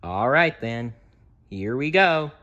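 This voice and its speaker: A man's voice: mid-range voice, animated, takes it slowly, pushed and bright, dull audio. A man narrating a children's storybook aloud in a dramatic way, with little asides to young listeners. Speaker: storybook reader.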